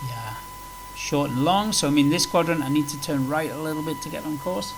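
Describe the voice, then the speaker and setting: Male sim presenter, fairly high-pitched, casual and unscripted, rambling walkthrough delivery over noticeable humming noise. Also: brisk. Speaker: flight simulator video presenter. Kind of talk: casual tutorial commentary while playing. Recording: clearly noisy with background hum.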